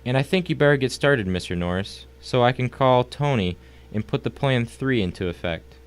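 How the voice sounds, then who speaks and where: A man playing a smug, courteous, wealthy crime boss in an audio drama, with suave, mannered, drawn-out politeness. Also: mid-range voice, expressive, smooth, natural voice. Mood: mock courteous.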